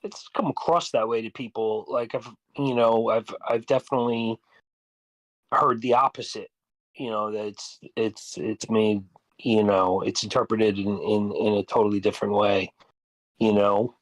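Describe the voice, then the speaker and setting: Male musician, reflective chat. Fairly deep, close dry recording, wandering hesitant delivery. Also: steady tone, moderate pace, no room sound, low-quality recording. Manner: hesitant, rambling, repeats words. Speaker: songwriter and musician. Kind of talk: casual reflective discussion.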